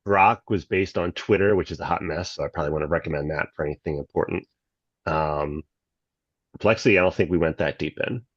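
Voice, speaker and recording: A man's voice: a bass voice, a little fast, dry recording. A man taking part in a group, answering questions casually and off the cuff. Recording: dull, lo-fi, dry audio.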